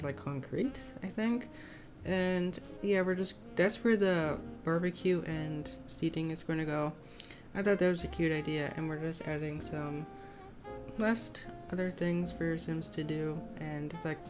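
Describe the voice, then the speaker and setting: A young woman with a low, slightly scratchy voice talks through a game build in a casual voiceover. She rambles in a tentative, self-conscious way. Soft guitar music plays faintly behind her. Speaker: gaming video creator. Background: soft plucked guitar music.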